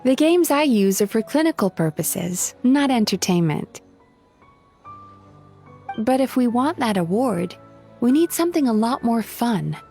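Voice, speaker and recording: A woman's voice: fairly high pitch, sing-song, a little slow, creaky, long pauses, warm tone, soft background music, exaggerated voice. An actress playing an easygoing, thoughtful young woman therapist in an anime game, with a mild, modest manner.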